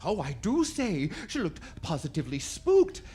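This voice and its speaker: This is a man acting a fussy department store Jack Frost in a radio play. His husky voice swings hugely up and down. He gushes with endearments and melodrama. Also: on the high side, wildly swooping pitch, normal speed, warm tone, full character voice. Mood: anxious, confiding. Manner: effusive, melodramatic, peppered with endearments. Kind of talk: 1940s radio drama acting.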